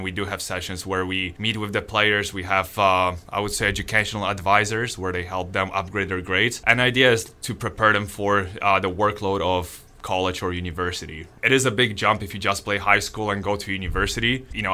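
Deep-voiced basketball coach. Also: male voice, sharp tone. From Poland. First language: Polish. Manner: rambling, many fillers and restarts. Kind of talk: casual interview answers.

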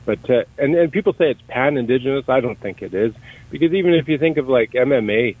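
A man's voice: mid-range voice, lively intonation, fast talker, darkish tone, low-quality recording. A middle-aged man from Canada, speaking as an interview guest in a thoughtful, searching way with many restarts and repeated words. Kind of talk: conversational interview.

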